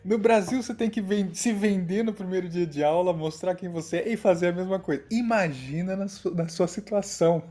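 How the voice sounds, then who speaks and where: Mellow-voiced Brazilian podcast co-host. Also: male voice, high-pitched, fairly quick, few pauses. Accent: Brazilian Portuguese. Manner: enthusiastic, agreeing interjections. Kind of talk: casual chat.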